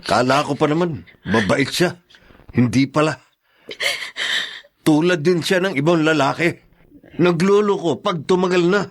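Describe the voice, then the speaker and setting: A man's voice: on the high side, unhurried, pauses often. A man playing an indignant, protective older brother in a radio drama. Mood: angry. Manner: heated, indignant.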